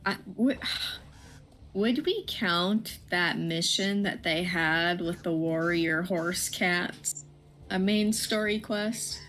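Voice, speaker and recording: A woman's voice: on the low side, takes it slowly, a bit bright, slight background noise. A woman co-hosting a game livestream, chatting casually as she plays. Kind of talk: casual chat while gaming.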